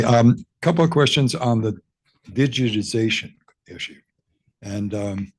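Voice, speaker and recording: A man's voice: lowish voice, slightly rough. A man asking a long question at a roundtable, rambling and restarting his sentences. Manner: rambling, self-correcting. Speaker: audience questioner. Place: press club conference room.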